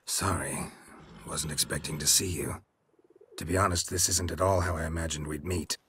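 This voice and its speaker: Game monster hunter: extremely deep, very raspy, stoic, wry, clipped deadpan lines. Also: male voice, moderate pace, heavily acted. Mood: surprised, subdued. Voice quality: gravelly, husky. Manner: terse, deadpan. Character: stoic, weary, wry male monster-hunting warrior. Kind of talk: video game cutscene acting.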